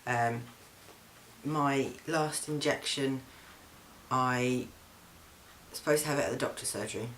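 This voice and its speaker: A young man records a casual video diary at home, speaking in a mid-pitched, fairly monotone voice. He talks in a loose, halting way, filling gaps with ums and restarting his sentences. A faint hiss sits under the recording. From England. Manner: chatty, frequent ums and restarts. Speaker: vlogger. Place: flat, indoors.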